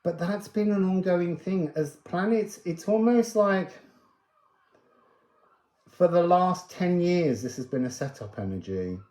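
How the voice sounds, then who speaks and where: A man with a mellow voice gives a spiritual card reading in an echoey room. He speaks very slowly and musingly, wandering from one thought to the next without a script. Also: high-pitched, animated. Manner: musing, meandering, drifting between thoughts. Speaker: oracle card reader and host.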